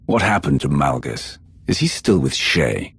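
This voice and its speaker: Very deep, gravelly commanding warrior. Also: male voice, very animated, unhurried, a bit bright, heavily acted. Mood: cool, probing. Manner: terse, authoritative. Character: commanding, controlled dark warrior, grimly pragmatic. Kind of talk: video game voice acting.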